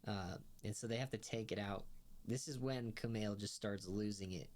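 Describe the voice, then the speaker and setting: A man's voice: on the low side, moderate pace, a little mellow. A young man giving a casual spoken review of a comedy series, rambling with lots of fillers and laughing as he talks. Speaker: show reviewer.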